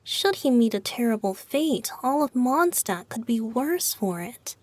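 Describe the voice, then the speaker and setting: Playful high-voiced boyish bard god. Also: female voice, very animated, measured pace, dry recording. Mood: theatrical, wistful. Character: playful boyish wind-god bard, whimsical and lightly theatrical. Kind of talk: English video game dub. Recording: music behind the voice.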